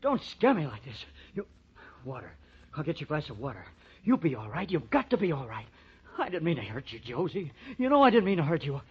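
A man's voice: on the high side, very animated, fairly quick, husky, full character voice. An actor in a 1940s-style radio drama playing a hot-headed, lovesick young male artist, impassioned and pleading. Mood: panicked. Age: young adult. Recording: muffled old radio recording.

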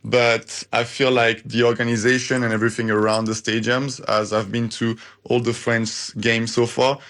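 A young man, a football fan guest, talking earnestly on a radio show with some halting, slightly non-native phrasing. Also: medium pitch, little pitch movement, a bit bright. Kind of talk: live radio interview.

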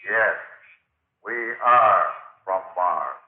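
A man's voice: sits low, lively intonation, extremely slow, heavily acted. A man playing a superior, menacing Martian invader in an old science fiction radio drama, commanding and ominous. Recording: echoey, tinny band-limited old radio.

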